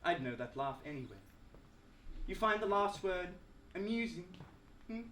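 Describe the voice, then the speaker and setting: A man's voice: high-pitched, big pitch swings, moderate pace, bright, forceful tone, exaggerated voice. A teenage male student actor with an Australian accent playing a pompous, aggrieved academic lecturer in a theatrical stage monologue, with florid, oratorical delivery. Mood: wounded, indignant. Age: teens. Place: indoor school drama showcase, filmed performance. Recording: roomy echoing stage, faint noise, clicks.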